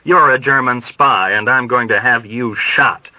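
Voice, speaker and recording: A man's voice: medium pitch, measured pace, no echo, dull audio, close to an everyday voice. A man reading a novel as a hostile male airman who mocks and threatens coldly. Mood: threatening. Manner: cold, mocking, repetitive.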